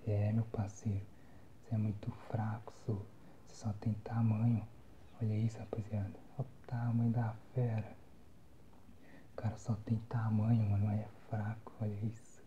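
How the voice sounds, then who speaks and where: A man's voice: fairly low pitch, little pitch movement, normal speed, highs cut off. Whispering young Brazilian man, muted and noisy. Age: young adult. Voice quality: soft, breathy whispering. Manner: casual, slangy. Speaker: gamer, ASMR channel host. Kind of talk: whispered ASMR gameplay commentary. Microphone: phone microphone, close.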